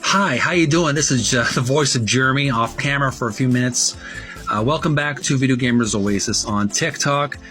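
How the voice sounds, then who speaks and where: A man hosting a retro gaming video, talking casually in a halting, wordy way, rephrasing himself as he shows and reads printed material. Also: mid-range voice, bright, forceful tone, faint music behind. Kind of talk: casual narrated show-and-tell, reading printed ad copy aloud.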